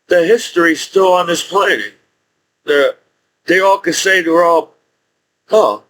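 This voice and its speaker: A man in his forties speaking in a rambling, halting monologue with frequent restarts and laughs. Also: high-pitched, a bit bright. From United States. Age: 40s. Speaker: YouTuber.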